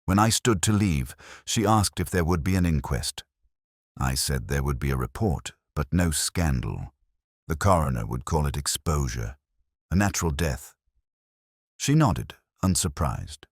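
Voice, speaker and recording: A man with an extremely low voice narrates a scripted detective story. His delivery is measured and solemn, with a literary turn and a pitch that moves expressively. The sound is close and dry. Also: lively intonation, no room sound.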